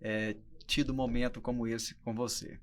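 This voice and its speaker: A man's voice: medium pitch, flat delivery, normal speed. A Brazilian man in his early forties talking casually as a guest entrepreneur on a podcast. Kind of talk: casual conversational interview talk.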